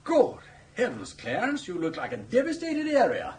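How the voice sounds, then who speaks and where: An actor playing a breezy, mischievous elderly gentleman with an upper-class English accent in a radio comedy drama, witty and teasing. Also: extremely high pitch, wildly swooping pitch, moderate pace, heavy background noise, heavily acted. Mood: jubilant, encouraging.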